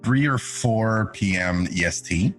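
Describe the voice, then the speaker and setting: Deep-voiced French-Canadian man chatting casually. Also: measured pace, soft background music. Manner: chatty, laughs often, animated jumps in pitch. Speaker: podcast guest, streamer. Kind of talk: casual chat.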